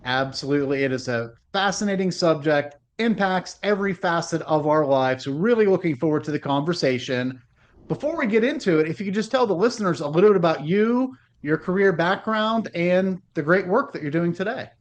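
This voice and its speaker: A man's voice: high-pitched, expressive, brisk. A man hosting a podcast, speaking in a polished, upbeat presenter manner. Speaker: podcast host. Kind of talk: podcast host intro and interview.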